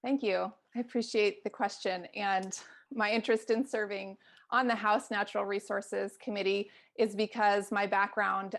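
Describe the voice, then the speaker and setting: Creaky-voiced American woman making a political pitch. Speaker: congressional candidate. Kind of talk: prepared town hall debate answer.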